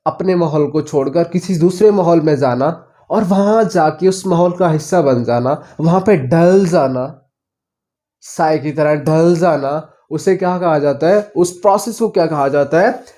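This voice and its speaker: A man from Pakistan with a Pakistani accent, teaching English vocabulary in a slow classroom style, repeating each word and quizzing his listeners. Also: fairly high pitch, dark tone. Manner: repeats each word several times and asks the listener questions.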